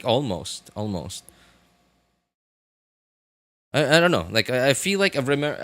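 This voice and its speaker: A man in his thirties chatting casually on a livestream, rambling and haltingly thinking aloud. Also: fairly low pitch, fairly bright tone.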